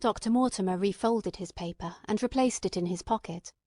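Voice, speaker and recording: A woman's voice: medium pitch, a little fast, darkish tone, dry recording. Woman narrator, dry close sound. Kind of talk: scripted audiobook narration.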